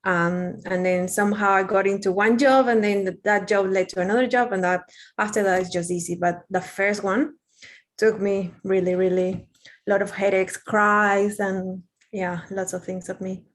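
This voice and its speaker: A woman's voice: medium pitch, fairly even intonation, moderate pace. A young adult woman from Peru with a Peruvian Spanish accent, speaking English as a second language as an interview guest, candid and rambling with many fillers and restarts. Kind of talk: casual interview.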